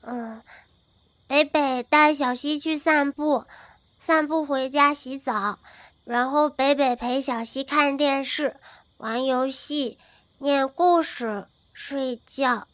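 A woman playing an innocent, sweet little girl in an audio drama, talking in simple, halting childlike phrases. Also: high voice, steady tone, a bit bright, no echo. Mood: innocent, earnest. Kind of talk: modern audio drama acting.

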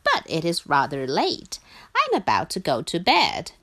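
A woman voicing a kindly, sensible duck in a children's storybook, calm and matter-of-fact. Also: sits low, unhurried, voice pushed down, heavily acted. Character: kindly sensible duck, reassuring host to friends.

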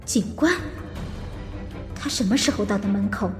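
A woman's voice: mid-range voice, moderate pace, soft background music, natural voice. A woman acting a composed, guarded married lady who hides her anxiety, in an audio drama. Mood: anxious inner monologue. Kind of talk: Mandarin audio drama dialogue and inner monologue.

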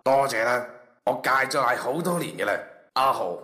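An actor playing a stern, scornful company interviewer in a comic radio drama, formal and lecturing, with a Guangzhou Cantonese accent. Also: male voice, mid-range voice, animated, moderate pace, bright, forceful tone. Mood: businesslike. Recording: echoey room.

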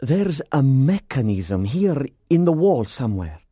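A man voicing a grave, wary, commanding Persian guide in an audiobook, speaking in a deliberate, ominous manner. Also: medium pitch, sing-song, warm tone, slightly rough, no echo, studio quality, voice pushed up. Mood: grave explaining.

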